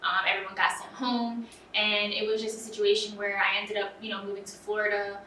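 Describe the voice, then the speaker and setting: A young woman student talks earnestly in a casual interview, leaning on "um" and "you know" as she thinks aloud. Her voice is bright, and she sits back from the mic in a roomy space. Faint ambience hums behind her. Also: mid-range voice, level tone, a little fast, distant mic, a little hiss or hum. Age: young adult. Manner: earnest, filler-heavy with frequent "um" and "you know".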